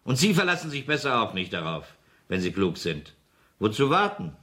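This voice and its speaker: An actor playing a smug, menacing gangster boss in a German radio crime drama, coolly mocking and commanding. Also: male voice, lowish voice, sing-song, normal speed, bright, forceful tone. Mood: coldly menacing.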